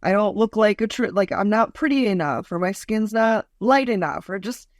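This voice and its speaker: A woman in her forties with a deep voice, a comedian guest telling stories in a lively, mocking way. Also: moderate pace, no room sound, studio quality. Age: 40s. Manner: lively, storytelling, mocking asides. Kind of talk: casual interview chat.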